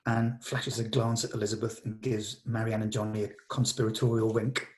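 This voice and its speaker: A man's voice: lowish voice, flat delivery, a little fast. A man giving scripted narration, reading out the stage directions at a script table read.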